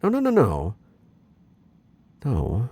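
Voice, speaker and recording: An actor playing a haughty, brooding dark warlord who is secretly smitten, theatrical and halting in an ASMR romance roleplay. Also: male voice, medium pitch, huge pitch swings, creaky, slightly rough, exaggerated voice. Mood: commanding, tender. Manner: halting, theatrical, swinging between commanding and flustered.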